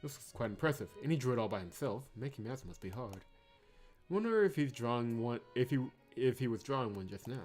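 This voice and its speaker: A man with a middling voice commentates a visual novel playthrough. He alternates between reading narration and teasing the game with wry remarks, with soft music underneath. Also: medium pitch, animated, brisk. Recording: music behind the voice.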